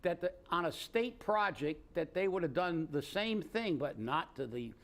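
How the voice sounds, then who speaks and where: A man's voice: fairly high pitch, expressive, normal speed, a bit bright. A man co-hosting a local political commentary show, talking in a hurry with indignant sarcasm. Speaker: co-host.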